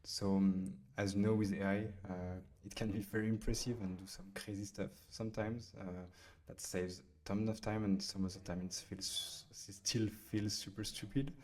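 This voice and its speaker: Low flat-voiced Frenchman with fillers. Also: moderate pace, few pauses. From France. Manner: hesitant, many fillers while typing. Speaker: software demo host. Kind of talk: casual screen-recorded tutorial.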